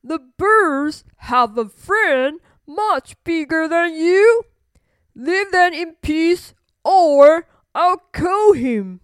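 Storybook elephant hero played by a woman: high voice, very slow, polite, firm, close dry sound. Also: lively intonation, dry recording, heavily acted. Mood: firm warning.

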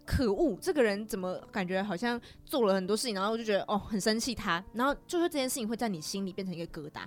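A woman with a Taiwanese accent hosting a casual chat, telling things in an animated way and acting out little remarks. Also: mid-range voice, fast, soft background music. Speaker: podcast host.

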